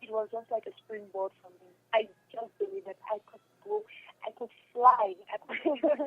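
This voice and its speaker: Lo-fi radio sound, young woman, Cameroonian accent, husky voice, emphatic repeated phrases, casual interview guest. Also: a little high, very animated, normal speed. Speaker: interview guest, stage and radio drama actress. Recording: dull lo-fi telephone-like radio sound.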